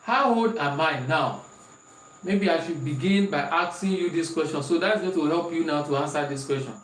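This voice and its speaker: Nigerian maths teacher going slow over examples, repeats himself a lot, roomy sound with faint hiss. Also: male voice, a little high, fairly bright tone, recorded from a distance. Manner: slow, repeats questions and calls out students' answers. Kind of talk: online maths lesson, working through examples. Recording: echoey room, voice off-mic, faint hiss.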